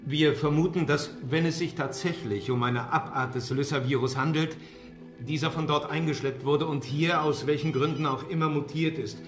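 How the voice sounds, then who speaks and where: Actor as formal hospital doctor in echoey room. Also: male voice, on the high side, steady tone, lightly acted. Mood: grave. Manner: formal, measured announcing. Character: authoritative male hospital doctor announcing an emergency. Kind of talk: German radio drama acting. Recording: roomy hall, music underneath.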